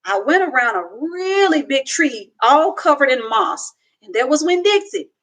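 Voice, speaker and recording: A young woman reading a children's book aloud at home into a webcam, with slow, expressive storytelling. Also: high voice. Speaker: reader. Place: home room, indoors.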